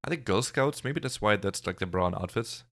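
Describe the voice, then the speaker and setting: A man's voice: on the low side, expressive, normal speed. A man giving a casual reaction commentary on a music video, rambling and hedging as he points things out. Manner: rambling, observational, hedging with "kinda" and "like". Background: faint music from the video.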